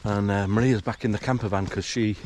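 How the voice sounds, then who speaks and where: A man narrating a morning countryside walk in a relaxed, hesitant way, full of fillers, his voice a little croaky from just waking up. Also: fairly low pitch, brisk, slight background noise. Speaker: hiking vlogger. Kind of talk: casual walking commentary. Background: rural outdoor sounds, animals.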